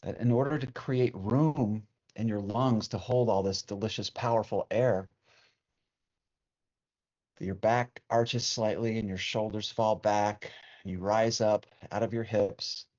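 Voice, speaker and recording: A man leading a guided meditation as a teacher, speaking in a soothing, unhurried way with long pauses. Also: fairly low pitch, fairly even intonation, darkish tone. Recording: dull, muffled lo-fi sound.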